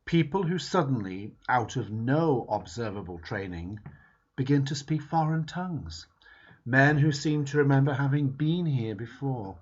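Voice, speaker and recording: A man reading a book foreword aloud in a slow, emphatic, dramatic way. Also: mid-range voice, expressive, a little mellow, husky. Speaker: reader. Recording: dull lo-fi recording.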